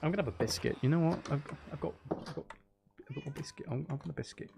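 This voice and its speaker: A man's voice: fairly high pitch, fast, vocal fry, background noise. A man chatting casually as he hosts a gaming livestream, with a playful, silly manner and a habit of repeating phrases. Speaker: livestream host playing a video game.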